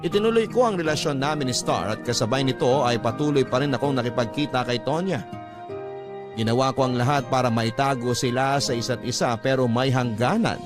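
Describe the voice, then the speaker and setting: A man's voice: medium pitch, a little fast, music in the background, close to an everyday voice. A man playing a remorseful young lover, narrating his secrets in a scripted first-person radio drama. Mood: confessional, reflective. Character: remorseful young man confessing a double love life. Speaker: letter-sender narrator.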